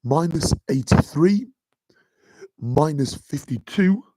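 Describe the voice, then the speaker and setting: A man's voice: lively intonation, slow pace, mellow, no echo, poor audio. A man teaching a live maths revision lesson, chatty and filling his talk with "okay" and "guys". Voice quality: deep voice. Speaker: maths tutor.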